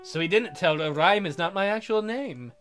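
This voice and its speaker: A woman narrates a visual novel as a let's play host, with music playing behind her. Her delivery is lively and wry, slipping into irreverent asides. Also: on the low side, moderate pace.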